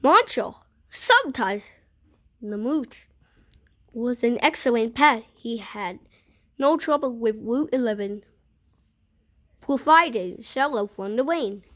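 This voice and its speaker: A woman reading a children's picture book aloud in a deliberate way, pausing between short phrases. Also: on the high side, animated, a bit bright. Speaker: storybook reader.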